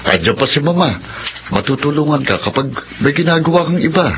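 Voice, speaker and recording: A man's voice: a little high, lively intonation, a little fast, highs cut off, noisy background. A man acting an indecisive mama's-boy husband and father with a Filipino accent in a radio drama, earnest and deferring. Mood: hesitant, defensive.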